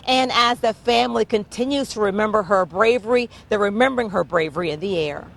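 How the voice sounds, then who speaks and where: A woman delivers scripted news narration as a reporter. Her pitch is in the middle range for a woman, and she reads in a reporting style. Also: brisk, gravelly.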